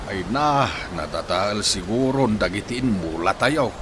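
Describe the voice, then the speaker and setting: A man's voice: lowish voice, lively intonation, unhurried. A man playing an easygoing older village husband in an Ilocano radio drama, with an Ilocano accent. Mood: concerned. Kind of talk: radio comedy-drama acting. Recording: noisy ambience behind.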